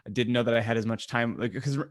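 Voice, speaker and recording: A man's voice: medium pitch, fairly even intonation, fast, no echo, clean studio sound. A man co-hosting a podcast, chatting casually and informally. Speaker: podcast co-host. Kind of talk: casual chat.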